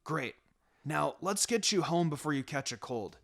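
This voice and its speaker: A man's voice: fairly high pitch, expressive, moderate pace, creaky, a bit bright. A brash, good-hearted teenage ninja boy, read by a man in a story narration. He speaks bluntly and informally. Music plays behind the voice. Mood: friendly. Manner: blunt, casual. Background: background music.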